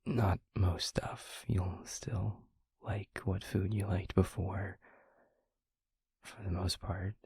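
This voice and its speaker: A man playing a gentle, concerned vampire boyfriend in an intimate audio roleplay, speaking softly and haltingly with a breathy, husky voice. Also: low voice, flat delivery, no echo, heavily acted. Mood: calm, explaining. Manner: soft, hesitant, halting, reassuring.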